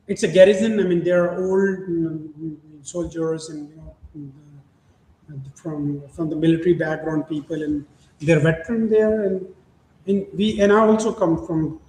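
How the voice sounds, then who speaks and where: A middle-aged man hosts the conversation in a high voice, stumbling through restarts and fillers and breaking into laughs. He sounds like he's in an echoey room with a faint hum of ambience behind him. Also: dark tone. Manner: halting, many restarts and fillers, laughs often. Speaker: podcast host. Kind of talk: casual interview chat.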